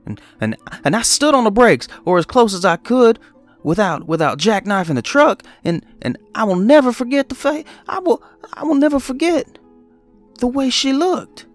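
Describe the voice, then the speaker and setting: A man's voice: extremely high pitch, big pitch swings, moderate pace, soft background music. A man voicing a guilt-ridden trucker who stammers and pleads through a horror story confession. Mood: anguished, shaken. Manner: stammering, repeating words, pleading. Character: distraught guilt-ridden male trucker confessing a fatal accident. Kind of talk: dramatic horror story monologue.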